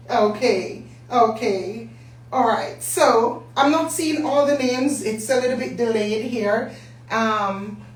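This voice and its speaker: Hoarse Jamaican woman hosting slowly. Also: a little high. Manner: warm, chatty, greets viewers by name. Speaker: business talk show host. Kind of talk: casual live-stream talk. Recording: echoey room, distant mic, faint hum.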